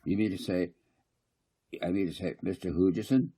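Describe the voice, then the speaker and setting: A man's voice: low-pitched, lively intonation, moderate pace, mellow, slightly rough. A man voicing a flustered, blustering small-town councillor in a storybook, sputtering and repeating himself indignantly. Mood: alarmed, puzzled.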